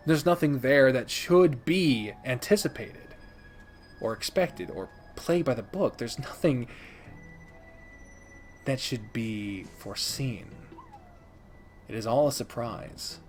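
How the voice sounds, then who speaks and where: A man giving a casual, unscripted video review as host, speaking emphatically and theatrically with dramatic pauses. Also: medium pitch, animated, soft background music. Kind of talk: casual scripted-free game review commentary.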